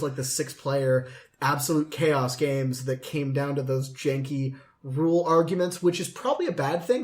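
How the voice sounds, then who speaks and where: A man's voice: fairly high pitch, darkish tone. A man in his late twenties chatting casually as a podcast host, telling rambling anecdotes. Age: late 20s.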